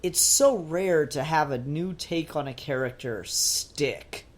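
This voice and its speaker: A man's voice: on the high side, slow. Man chatting casually on a podcast. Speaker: podcast co-host. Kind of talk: casual discussion of comics and TV.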